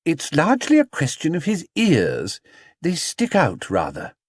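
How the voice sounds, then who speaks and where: Fussy, high-pitched motherly lady played by a man. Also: huge pitch swings, unhurried, breathy edge, dry recording, clean studio sound, heavily acted. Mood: anxious. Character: fussy, anxious motherly middle-class woman shopper.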